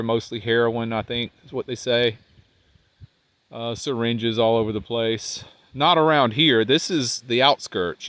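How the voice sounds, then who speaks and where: A man with a congested, nasal voice, talking casually to the camera outdoors as a van life vlogger, rambling and trailing off quietly. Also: mid-range voice, no room sound. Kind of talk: casual vlog talk while walking. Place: outdoors by a riverbank park.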